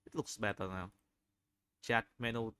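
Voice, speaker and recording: A man giving unscripted video commentary, halting often as he searches for words and repeats phrases. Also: on the low side, fairly even intonation, a bit bright, smooth. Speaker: video host reviewing game screenshots.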